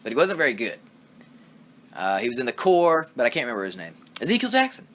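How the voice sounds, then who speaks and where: A man co-hosts a loose wrestling review chat, rambling with excitement and dropping casual swears. His voice bounces around a lot in pitch and has a bright, forward tone. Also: quick pace. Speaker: podcast co-host.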